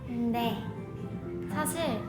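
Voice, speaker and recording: A young woman with a notably high voice co-hosts a casual radio-style show. She reads listener letters and chats in a playful way. Background music plays under her in an echoey studio. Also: drawn-out words. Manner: playful, laughs easily.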